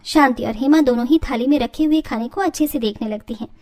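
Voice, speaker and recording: A woman's voice: high voice, brisk, a little mellow. A woman reading scripted narration for a moral family story.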